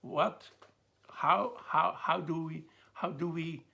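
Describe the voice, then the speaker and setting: Slow, gravelly Swiss-accented older man. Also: on the high side, steady tone, sharp tone. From Switzerland. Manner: measured, pausing between phrases. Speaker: theologian presenting a talk. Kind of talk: slow, deliberate lecture to camera.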